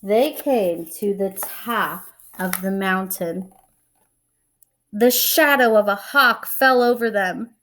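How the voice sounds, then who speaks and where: A woman reading a children's picture book aloud, expressively, pausing to explain and put questions to young listeners. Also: medium pitch, a bit bright, slight background noise. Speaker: read-aloud storyteller and teacher-like reader.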